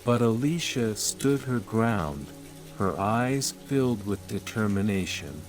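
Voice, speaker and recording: A man narrating a scripted bedtime fairytale in a measured, soothing manner. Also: lowish voice. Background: rain and calm music.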